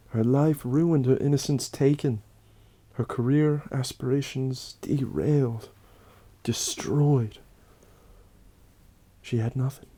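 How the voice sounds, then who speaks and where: A man with a soft, mellow voice delivers a scripted, satirical tale. His delivery is solemn and theatrical, leaning on key phrases. The sound is dry, with very little room in it. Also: medium pitch, no echo. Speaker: host and narrator of a Halloween special. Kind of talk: scripted dramatic narration with satirical asides.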